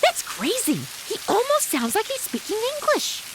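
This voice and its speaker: Snarky american gal in an audio comedy, whiny and slangy, high voice, noisy rain hiss behind. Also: female voice, huge pitch swings, moderate pace, heavily acted. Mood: whiny, mocking. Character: brash, sarcastic, complaining modern American woman sidekick. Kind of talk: comedy audio drama acting.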